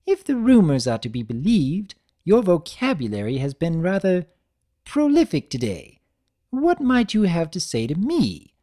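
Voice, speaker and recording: Casting: high, swooping, refined unicorn lady, emphatic and theatrical, performed by a woman. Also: huge pitch swings, a little slow, mellow, heavily acted. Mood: gushing. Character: prim, theatrical female unicorn seamstress. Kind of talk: dramatic audiobook reading.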